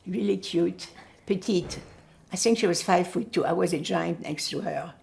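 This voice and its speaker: Older lady, yiddish was her first language, low gravelly voice, lots of pauses and ums. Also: a little mellow. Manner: halting, searching for words. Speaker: Holocaust survivor giving testimony. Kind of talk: oral history interview.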